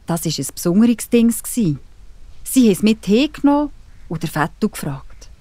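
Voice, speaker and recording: A woman with a Swiss German accent narrating a children's Bible story, gently and encouragingly addressing young listeners. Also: medium pitch, sing-song, normal speed, no room sound. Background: background music.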